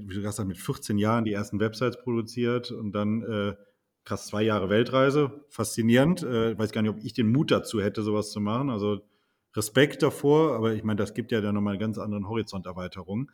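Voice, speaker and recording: A man's voice: fairly low pitch, brisk, a little mellow. A man hosting a podcast, asking long, admiring questions with fillers and small restarts. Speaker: podcast host. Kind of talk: conversational podcast interview.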